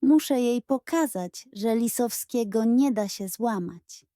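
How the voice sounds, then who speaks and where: A woman's voice: fairly high pitch, slow, dark tone, dry recording, studio quality. A woman voicing a mischievous, defiant schoolboy who mutters schemes under his breath in a children's audio story. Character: mischievous defiant schoolboy plotting revenge on teacher.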